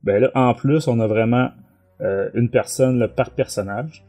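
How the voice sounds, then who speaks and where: A man's voice: mid-range voice, measured pace, dark tone. A man with a Quebec French accent, casually discussing a book as a podcast co-host. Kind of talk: casual chat.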